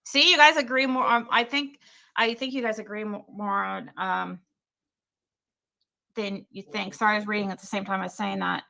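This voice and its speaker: Woman, livestream host, bright voice, hesitant, lots of fillers. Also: mid-range voice, steady tone, normal speed, gravelly. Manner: hesitant, filler-heavy, reads chat while talking. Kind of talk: casual livestream hosting.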